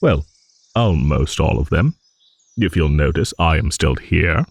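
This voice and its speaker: A man's voice: a bass voice, expressive, measured pace, creaky, slightly rough, no room sound, exaggerated voice. A man playing a genial, nostalgic old dragon in an improvised fantasy monologue, musing and rambling with hums and hesitant asides. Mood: reminiscing, storytelling.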